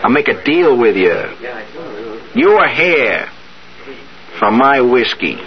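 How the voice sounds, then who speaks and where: An actor playing a young, scheming, slangy street hustler in a 1970s radio drama. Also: male voice, mid-range voice, sing-song, slow pace. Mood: sly, coaxing. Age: young adult. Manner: slangy, insistent. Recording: dull, noisy 1970s radio recording.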